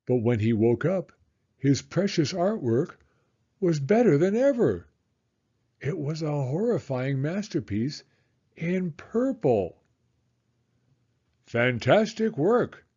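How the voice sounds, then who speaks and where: A man reading a children's story aloud in a theatrical, suspenseful way with drawn-out emphasis. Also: fairly high pitch, very animated, dark tone, slightly rough, dull audio.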